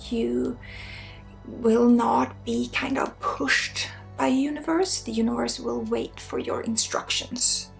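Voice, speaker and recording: A woman with a Swedish accent guides an ASMR energy healing at a very unhurried pace. Her soft voice sits fairly high and often drops to a whisper with a crackly edge. Faint music plays behind her. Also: vocal fry. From Sweden. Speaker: spiritual healer and ASMR host.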